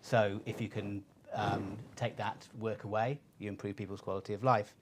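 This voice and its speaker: A man presenting research findings in a semi-scripted style, measured and pausing between phrases. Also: lowish voice, flat delivery.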